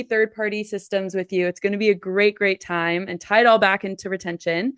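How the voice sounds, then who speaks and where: A woman's voice: medium pitch, no echo. Chatty woman podcast host. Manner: upbeat, chatty with fillers. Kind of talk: podcast interview.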